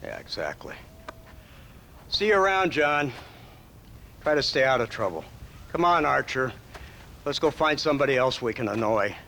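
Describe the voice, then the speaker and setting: A man's voice: mid-range voice, big pitch swings, moderate pace, pauses often, sharp tone. An actor playing a smug, sardonic, menacing middle-aged government lawman in a video game, mocking and condescending. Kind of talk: video game dramatic acting. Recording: noisy outdoor ambience behind.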